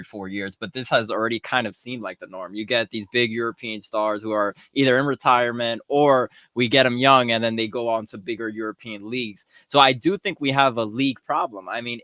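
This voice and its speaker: Bright-voiced man chatting soccer. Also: medium pitch, no room sound. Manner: thinks aloud with restarts and "I would say". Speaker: podcast co-host. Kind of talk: casual sports chat. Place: small home studio.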